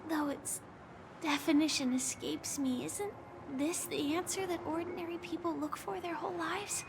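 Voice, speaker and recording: A woman voicing a gentle, wistful young woman warrior in a video game, speaking solemnly and often in a hushed, breathy tone. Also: extremely high pitch, fairly even intonation, moderate pace, background noise. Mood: solemn, resigned. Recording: loud music behind the voice.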